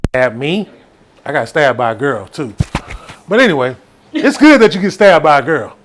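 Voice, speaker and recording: Male comic storyteller, Southern US accent, slightly high voice, casual, rambling, animated. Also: normal speed, a little hiss or hum. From Texas, United States.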